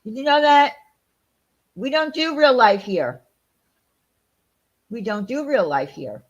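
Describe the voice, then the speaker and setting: A woman's voice: a little high, lively intonation, takes it slowly, lo-fi sound. A woman with a Long Island New York accent, hosting a casual livestream and reading out chat, talking in an indignant, rambling way with many fillers.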